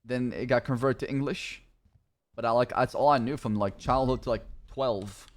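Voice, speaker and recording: Man, Arabic-native speaker, police roleplay streamer, careful plain phrasing, mid-pitched voice. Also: moderate pace. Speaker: streamer playing a police leader. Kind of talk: roleplay chat and talking to stream chat.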